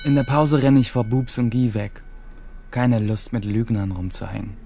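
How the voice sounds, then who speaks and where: An actor playing a brooding, detached teenage schoolboy in terse, introspective first-person radio drama narration. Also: male voice, medium pitch, steady tone, normal speed, gravelly, background noise, natural voice. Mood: uneasy, lost. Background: music under the voice.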